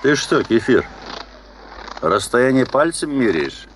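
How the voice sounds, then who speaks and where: An actor playing a brusque, needling expedition worker, curt and blunt, in a 1970s Soviet radio play. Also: male voice, mid-range voice, very animated, slow, noisy background. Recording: distant from the microphone.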